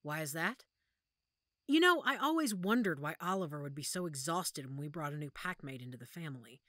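A woman acting a protective, weary werewolf pack leader in an audio roleplay, speaking softly and measuredly with thoughtful pauses. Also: sits low, lively intonation, no room sound, clean studio sound. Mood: gentle, then weary, apologetic. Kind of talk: audio roleplay drama, one-sided conversation.

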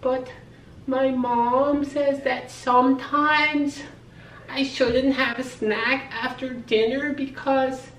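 A man's voice: very high voice, flat delivery, slow pace, a bit bright, slight background noise, voice pushed up, full character voice. A man playing a shy, hesitant five-year-old boy in a comic monologue. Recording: roomy kitchen, distant camera mic.

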